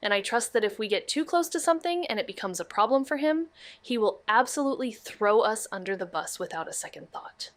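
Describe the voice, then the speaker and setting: An actress playing a sharp, wry young woman detective in a noir audio drama, with a dry, clipped, probing manner. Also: on the high side, animated, brisk, dry recording, natural voice. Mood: dry, resolved. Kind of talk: neo-noir audio drama dialogue and narration.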